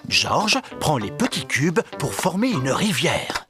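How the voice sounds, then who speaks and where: A man's voice: a little high, big pitch swings, slow, loud music behind the voice. A man playing a friendly narrator of a children's cartoon, reading scripted storybook-style lines. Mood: friendly, storytelling.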